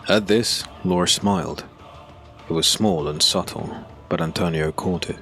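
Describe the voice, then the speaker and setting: A man narrating a dark fantasy audiobook as scripted storytelling, in a grave and dramatic manner. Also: low voice, a little slow. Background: music.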